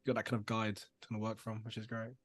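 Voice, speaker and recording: A man's voice: on the low side, monotone, very fast, no echo, clean studio sound. A young man hosting a live design stream over a video call, chatty and full of fillers. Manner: chatty, lots of fillers and back-channel "nice"s. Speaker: livestream host. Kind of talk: casual livestream chat.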